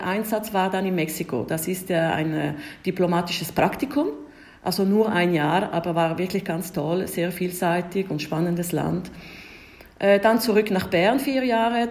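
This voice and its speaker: A woman in her forties from Ticino whose native language is Italian, speaking German with an Italian-Swiss accent as a diplomat guest on a podcast, hesitant and full of filler sounds but lively. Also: fairly low pitch. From Ticino, Switzerland. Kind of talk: podcast interview storytelling.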